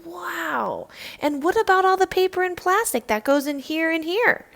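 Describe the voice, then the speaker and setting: A woman's voice: extremely high pitch, lively intonation, normal speed, gravelly, a bit bright, voice pushed up, exaggerated voice. A woman voicing a curious, easily amazed young schoolchild in a storybook, full of questions and exclamations.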